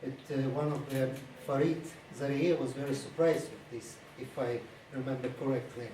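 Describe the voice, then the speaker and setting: Non-native english guy giving a conference talk, kinda halting, mellow voice, echoey room. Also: mid-range voice, steady tone. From Ukraine-born, lived mostly in Estonia. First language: not English. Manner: halting, formal, frequent long hesitations. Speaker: conference panel speaker. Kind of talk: hesitant conference speech. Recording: echoey room, distant mic, faint noise.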